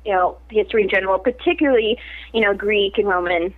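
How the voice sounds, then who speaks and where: A woman's voice: mid-range voice, quick pace. A woman actress in a casual interview, talking animatedly and laughing often. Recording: tinny phone-like line.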